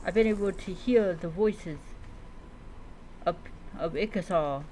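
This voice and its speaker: A woman streamer role-playing in character as a dragon rider in a game, speaking haltingly and repeating words. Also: on the low side, warm tone, slight background noise, low-quality recording. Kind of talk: in-character game roleplay storytelling, talking to pet creatures.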